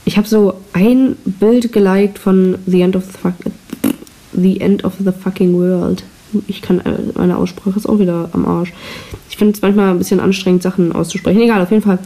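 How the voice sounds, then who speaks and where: A woman's voice: mid-range voice, fairly even intonation, normal speed, mellow. Teenage girl rambling into a hissy mic. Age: teens. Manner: rambling, self-deprecating, frequent restarts. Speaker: podcast host. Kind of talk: casual solo chat. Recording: noisy cheap microphone with hiss.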